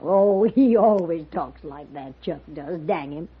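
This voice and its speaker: An actress playing a plainspoken, bossy but hospitable frontier boardinghouse matron with a rural Western American accent in a radio drama. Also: female voice, fairly low pitch, animated, unhurried, breathy edge, heavily acted. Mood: brisk, folksy. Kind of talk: 1950s radio drama acting. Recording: muffled vintage radio recording.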